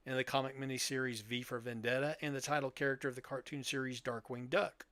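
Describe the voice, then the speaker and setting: A man's voice: medium pitch, level tone, fast talker, a bit bright, dry recording. An older man hosting a podcast, chatting casually through his show notes in a rambling, jokey, nerdy explainer way. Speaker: podcast host.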